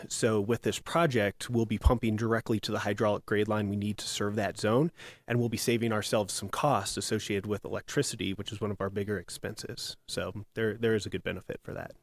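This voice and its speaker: A raspy-voiced man answers a commission's questions about water pressure zones. He explains in detail and stops often on fillers. The sound is dry and close. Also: fairly low pitch, no room sound. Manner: technical, explanatory, many fillers. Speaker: city utilities manager. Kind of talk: answering questions at a public meeting.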